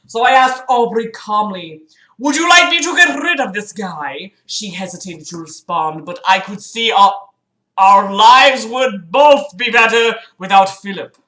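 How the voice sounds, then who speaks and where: A woman's voice: mid-range voice, unhurried, pushed and bright, reverberant, low-quality recording. A woman hosting a livestream, reading a book aloud mockingly with sarcastic, exasperated asides and casual swearing. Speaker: livestream host. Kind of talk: mocking read-aloud of a book with casual commentary to live chat.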